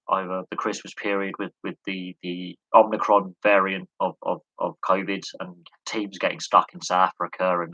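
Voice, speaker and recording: A man with a low, very bright and sharp voice talks sports on a live stream. He is going hoarse and coughs now and then. He rambles, stumbling over repeated words between "um"s. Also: poor audio. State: losing his voice, coughing. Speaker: sports commentator answering questions.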